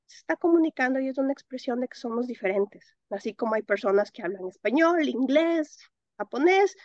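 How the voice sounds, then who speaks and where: Expressive Ecuadorian woman, interview guest. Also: high voice, brisk, no echo, highs cut off. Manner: expressive, laughs often, mixes in English words. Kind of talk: interview answers.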